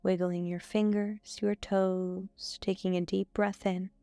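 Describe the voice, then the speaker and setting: A woman reading a scripted guided meditation in a soothing, measured way with frequent pauses. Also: medium pitch, level tone, mellow, smooth, no room sound, soft background music.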